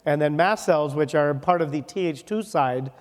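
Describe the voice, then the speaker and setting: A man with a slightly high voice delivers a conference lecture on medicine in a big hall, and the long reverb trails after his words. He is from Wisconsin and mixes quick jokes into the teaching, often tagging a point with "okay?". He sounds like he is speaking at a podium mic. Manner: joking, rapid, ends points with "okay?". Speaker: dermatologist lecturer.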